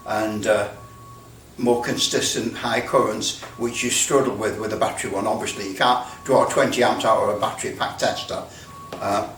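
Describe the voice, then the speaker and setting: A British man giving a casual hands-on demonstration, halting as he thinks aloud. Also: fairly low pitch, steady tone, a bit bright. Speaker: presenter demonstrating electrical testing. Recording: roomy, distant mic with background noise.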